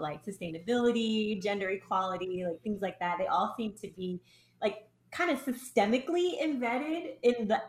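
A woman's voice: mid-range voice, fairly bright tone. An echoey recording of a woman with a mid-range voice hosting an interview. She is chatty and enthusiastic. Her phrasing is casual, broken up with plenty of "like". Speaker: podcast host, interviewer. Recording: echoey room sound.

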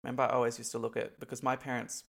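A young Australian man talks loosely to camera, his nose blocked up and stuffy. His voice carries a gravelly fry at the ends of phrases, and he rambles through ums and likes as he goes. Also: medium pitch, little pitch movement, racing, fairly bright tone. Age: young adult. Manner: rambling, many ums and likes, laughs between points. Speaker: vlogger. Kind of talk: casual to-camera chat.

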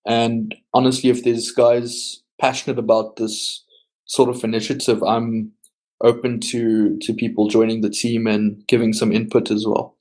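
A man, a software team lead and guest on a casual podcast, explaining at length with frequent ums. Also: medium pitch, little pitch movement, unhurried, low-quality recording.

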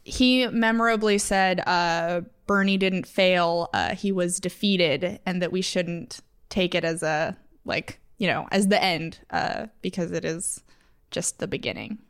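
Woman podcast co-host, smooth and resonant voice, reflective with dry humor. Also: on the low side, unhurried. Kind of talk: casual podcast chat.

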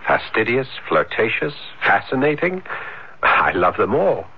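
A man's voice: lowish voice, big pitch swings, measured pace, slightly rough, exaggerated voice. An actor playing a dreamy, romantic, solitary man in a radio drama, theatrically savouring each word. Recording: noisy, muffled 1970s radio broadcast.

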